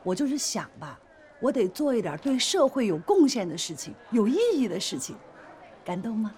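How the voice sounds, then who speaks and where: An actress playing a pushy, flirtatious shop owner in a screen drama, insistent, teasing and quick to take offence. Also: female voice, a little high, very animated, a little mellow. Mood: eager. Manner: insistent, teasing, indignant, theatrical. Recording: noticeable background noise and ambience.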